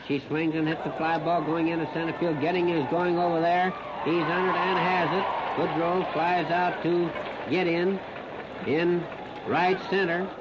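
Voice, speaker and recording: An American man calls live baseball play-by-play on the radio in short, plain-spoken, folksy phrases. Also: on the high side. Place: outdoor ballpark. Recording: noisy, muffled 1930s radio broadcast recording.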